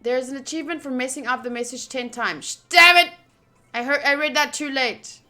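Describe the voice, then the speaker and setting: A woman doing casual playthrough commentary of a visual novel, reading game text aloud and thinking out loud with excitable energy. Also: high-pitched, brisk, faint music behind. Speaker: streamer playing a visual novel.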